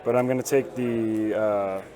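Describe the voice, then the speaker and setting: A hoarse-voiced man talking casually as he plays a tabletop wargame. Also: on the low side, steady tone, unhurried, rough recording. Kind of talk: casual game talk. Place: game store during a tournament. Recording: busy game store crowd behind.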